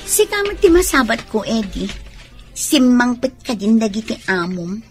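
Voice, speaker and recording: An actress playing an evasive, sly nurse in a radio drama. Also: female voice, a little high, big pitch swings, normal speed, over music. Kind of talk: Ilocano radio drama acting.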